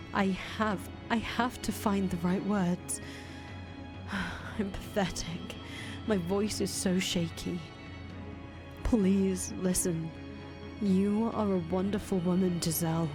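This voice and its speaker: A woman voicing a soft-spoken young man condemned as a heretic, talking quietly in breathy whispers. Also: mid-range voice, close to an everyday voice. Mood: gentle. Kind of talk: audio drama acting.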